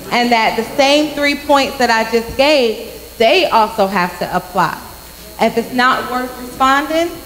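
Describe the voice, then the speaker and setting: A young woman giving a youth message at church, telling a story with lively acted-out voices into a handheld mic. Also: a little high, a little hiss or hum. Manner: animated storytelling, acting out quoted voices. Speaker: youth speaker. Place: large church sanctuary with congregation. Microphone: handheld microphone. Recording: echoey sanctuary from a far camera.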